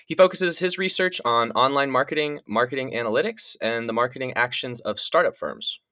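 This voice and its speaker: A man's voice: mid-range voice, a little fast, pushed and bright, no room sound, studio quality. A man hosting a podcast, reading a scripted intro and then chatting loosely with his guest. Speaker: podcast host. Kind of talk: podcast interviewing.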